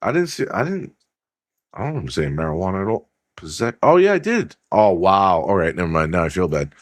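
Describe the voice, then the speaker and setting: A man hosting a casual sports podcast, rambling and swearing as he reads news aloud with his own asides, his voice going hoarse. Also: deep voice, big pitch swings, brisk, no echo, studio quality. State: losing his voice. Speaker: podcast host.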